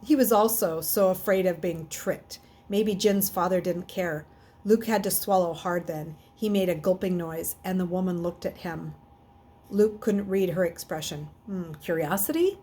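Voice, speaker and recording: A woman reading a children's novel aloud in an expressive way, occasionally stumbling and correcting herself. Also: on the low side, moderate pace, husky. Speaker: reader.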